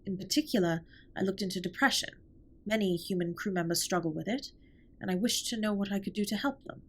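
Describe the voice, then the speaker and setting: A woman playing a formal, earnest, caring alien spaceship captain in a scripted comfort roleplay, speaking softly and breathily with measured, precise wording. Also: mid-range voice, lightly acted. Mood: earnest, supportive. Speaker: audio roleplay performer. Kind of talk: scripted comfort roleplay, intimate one-sided dialogue.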